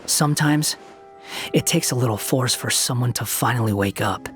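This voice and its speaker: An actor voicing an aloof, world-weary young man in an anime game, cynical and sharp-tongued, with a dry, dismissive manner. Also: mid-range voice, normal speed, over music, natural voice. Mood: grim, matter-of-fact. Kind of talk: dramatic anime game voice acting.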